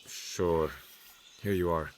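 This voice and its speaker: Terse young medieval recruit. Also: male voice, low voice, fairly even intonation, slow pace, a little hiss or hum. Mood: guarded. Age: young adult. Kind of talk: video game cutscene acting.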